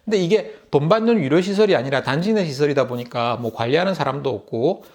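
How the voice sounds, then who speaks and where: A man's voice: a little high, fast. A middle-aged man with a Busan Gyeongsang accent, telling a casual story to camera as a vlogger, chatty and laughing often. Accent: Gyeongsang (Busan) Korean. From Busan, South Korea. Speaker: YouTube vlogger.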